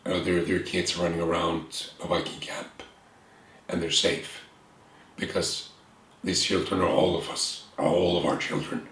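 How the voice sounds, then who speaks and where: A man with an Icelandic accent talks slowly and thoughtfully in short phrases. His voice is low and fairly flat. The room around him sounds echoey, with a faint hiss underneath. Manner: earnest, reflective, pausing in short phrases. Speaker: guest. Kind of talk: candid conversation. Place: room with bookshelves and a window, at a table.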